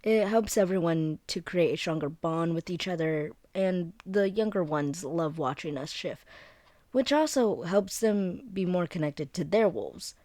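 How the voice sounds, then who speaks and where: A woman plays a friendly young female werewolf, second in her pack, in an audio drama. Her voice is on the low side and unhurried, recorded dry and close to the room's silence. She explains things informally, rambling and getting a little flustered as she goes. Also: mellow, no echo, close to an everyday voice. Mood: earnest, explaining. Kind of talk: scripted one-sided roleplay audio drama, conversational.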